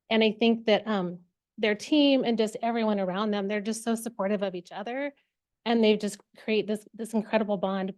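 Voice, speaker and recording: A woman's voice: mid-range voice, a little mellow. A middle-aged woman giving a prepared acceptance speech as a parent volunteer. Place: meeting room, speaking at a microphone.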